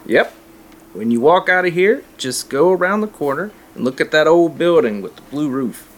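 An actor playing a middle-aged small-town cafe cook in an audio drama, helpful, folksy and plain-spoken. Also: male voice, a little high, animated, normal speed, natural voice.

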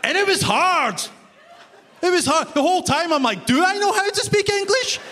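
A man's voice: very high-pitched, animated, moderate pace, bright, forceful tone. A Scottish man from Glasgow with a Glaswegian accent doing a wry, self-mocking stand-up set in a big concert hall, checking in with the crowd. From Scotland. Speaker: stand-up comedian. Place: large concert hall stage, audience laughing. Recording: live hall reverb, faint room noise.

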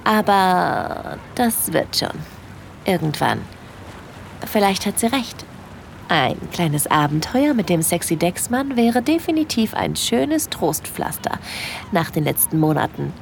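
A woman playing a shy, smitten young holidaymaker in an intimate first-person audio drama, breathy, confiding and easily flustered. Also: fairly low pitch, very animated, slow pace, slight background noise, exaggerated voice. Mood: dreamy, infatuated.

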